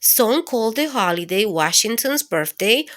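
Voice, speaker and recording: Woman reading to kids, higher-pitched voice. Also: lively intonation, a little slow, fairly bright tone. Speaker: children's storytime host and reader. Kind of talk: read-aloud educational narration for kids.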